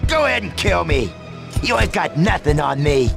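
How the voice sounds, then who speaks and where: Gravelly, panicky street thug. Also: male voice, a little high, very animated, normal speed, exaggerated voice. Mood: panicked defiance. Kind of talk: video game cutscene acting. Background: loud music.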